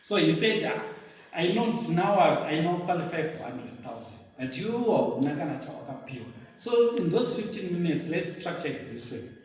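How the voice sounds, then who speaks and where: A man's voice: fairly high pitch, moderate pace. Zimbabwean man speaking in an echoey hall. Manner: storytelling, animated, laughing at himself. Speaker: guest speaker. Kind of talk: motivational speech at a luncheon. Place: event venue hall. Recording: very echoey hall, mic set far off.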